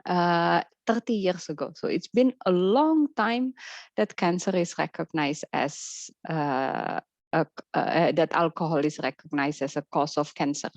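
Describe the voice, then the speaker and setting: A woman's voice: on the low side, a little slow, dry recording. A woman researcher giving a keynote talk on a webinar, speaking hesitantly with many fillers and restarts. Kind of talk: webinar presentation. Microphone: video call.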